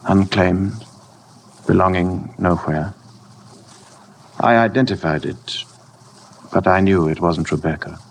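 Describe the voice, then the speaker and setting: An actor playing a tormented, fatalistic husband in a 1950s radio drama, speaking in a grave, weary, measured way. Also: male voice, low-pitched. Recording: noisy old 1950 radio broadcast.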